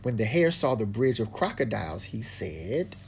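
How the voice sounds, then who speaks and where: A woman's voice: extremely low pitch, big pitch swings, moderate pace, creaky, darkish tone, a little hiss or hum. A woman reading a storybook aloud as the narrator. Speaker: narrator, reading partner to a child.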